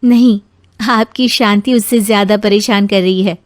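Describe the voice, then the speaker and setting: A woman's voice: mid-range voice, steady tone, a little slow, no echo, natural voice. A woman playing a polite, patient young Indian daughter-in-law in a dramatized moral story, speaking earnestly and respectfully. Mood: concerned.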